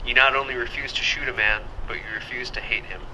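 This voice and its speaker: A man's voice: mid-range voice, fairly even intonation, fast, noisy background. A man carefully reading a quotation aloud.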